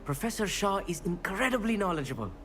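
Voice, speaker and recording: Eager bookish wizard boy, lively pitch. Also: fast, slight background noise. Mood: eager, friendly. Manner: enthusiastic, formal wording. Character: eager, bookish teenage boy wizard student who loves astronomy. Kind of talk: video game dialogue acting.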